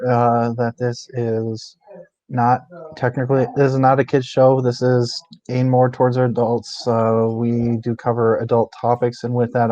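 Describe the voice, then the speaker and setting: A man's voice: mid-range voice, darkish tone, no echo, muffled recording. A man co-hosting a book discussion stream in a casual, informal, conversational way. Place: indoors, live stream.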